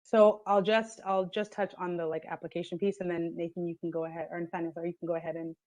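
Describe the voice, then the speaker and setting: A woman from the admissions office answering questions on a video call, steady and helpful. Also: lowish voice, no room sound. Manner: steady, helpful, slightly halting. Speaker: admissions staff.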